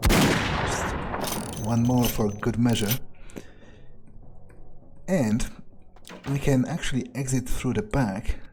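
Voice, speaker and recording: A man's voice: medium pitch, slow pace, long pauses, background noise, natural voice. A man role-playing a callous, laid-back vampire vigilante in a video game, muttering his thoughts offhandedly. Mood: matter-of-fact. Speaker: let's player role-playing in character. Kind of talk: in-character gameplay commentary, thinking aloud. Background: game music.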